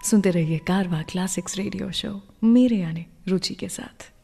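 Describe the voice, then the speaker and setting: A woman's voice: medium pitch, moderate pace, creaky, big-room sound, very noisy. A woman hosting a radio show, telling scripted stories conversationally and putting questions to listeners. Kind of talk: scripted radio storytelling between songs. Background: music.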